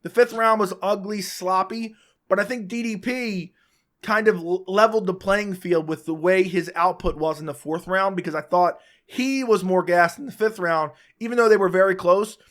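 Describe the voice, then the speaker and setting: A man's voice: sits high, fairly bright tone. A man who is sick and losing his voice, hosting a casual, opinionated solo recap of a fight round by round. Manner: casual, opinionated, talks fast in bursts with restarts. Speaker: MMA commentator, channel host.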